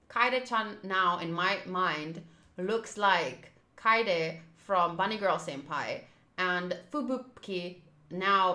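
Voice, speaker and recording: Bright-voiced woman reading a game aloud. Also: on the low side, reverberant. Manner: reacts aloud, shifting between reading and chatty asides. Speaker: streamer playing and reading aloud a visual novel. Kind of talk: playthrough commentary, reading on-screen text aloud.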